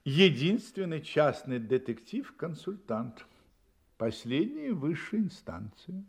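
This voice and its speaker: A man acting a vain, didactic gentleman detective in an audio play, speaking in a pedantic, emphatic manner. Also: a little high, big pitch swings, slow, slightly rough, heavily acted. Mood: smug, self-assured. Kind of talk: theatrical radio-play dialogue.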